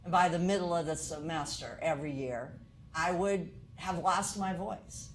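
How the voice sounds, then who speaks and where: A woman's voice: fairly low pitch, moderate pace, a little hiss or hum, low-quality recording. A woman college instructor lecturing to teachers in an earnest way, asking many rhetorical questions.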